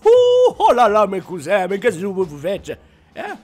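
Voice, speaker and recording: A man's voice: high voice, huge pitch swings, unhurried, a little mellow, soft background music, voice pushed up, heavily acted. A man voicing an exuberant, astonished cartoon firefly from the bayou, folksy and full of exclamations. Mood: astonished, friendly.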